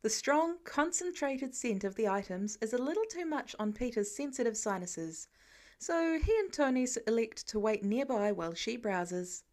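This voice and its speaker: A woman's voice: fairly high pitch, lively intonation, normal speed, darkish tone. A woman reading fiction aloud as an amateur audiobook narrator, in scripted storytelling style.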